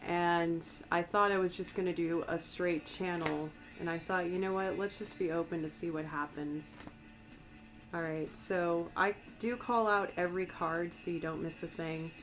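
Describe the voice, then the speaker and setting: An American woman with a slightly raspy voice from a cold, giving a soft, meandering, conversational ASMR-style tarot reading. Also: lowish voice, steady tone, normal speed, poor audio. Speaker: tarot reader and astrologer.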